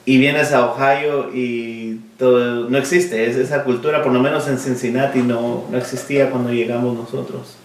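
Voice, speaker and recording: A man in his forties, born in Guatemala and raised in Miami, telling his life story in a relaxed interview, pausing often to search for words and slipping in English words. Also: mid-range voice. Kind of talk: oral history interview. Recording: roomy, mic at a distance, faint noise.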